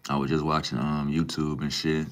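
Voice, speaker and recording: A man's voice: a bass voice, level tone, moderate pace. A young man from Minneapolis, livestreaming and chatting casually with viewers, laid-back and full of slang. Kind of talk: casual live stream chat, reading and answering viewer comments.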